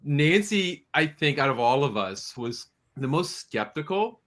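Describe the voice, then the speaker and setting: A man speaking as a producer on a panel, telling stories in an animated, jovial, casual way. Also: a little high, measured pace.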